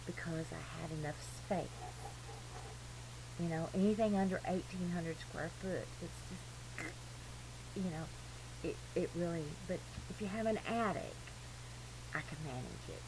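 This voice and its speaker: A woman giving an informal, rambling religious monologue in an earnest, emphatic, digressive way. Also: fairly low pitch. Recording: noisy amateur recording with clear hum.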